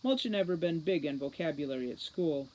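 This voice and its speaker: A woman's voice: sits low, expressive, fairly quick, darkish tone, low-quality recording. A woman reading scripted storybook narration as an audiobook reader.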